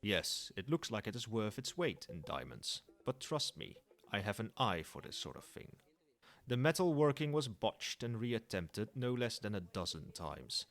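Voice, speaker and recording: A man's voice: on the low side, fairly bright tone. A man playing a proud old dragon in human form in an ASMR roleplay, speaking in formal, lofty and teasing phrases, with fondness.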